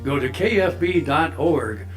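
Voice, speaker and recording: A man's voice: fairly high pitch, animated, a little slow. A man reading a scripted sponsor announcement as an announcer. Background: plucked guitar music.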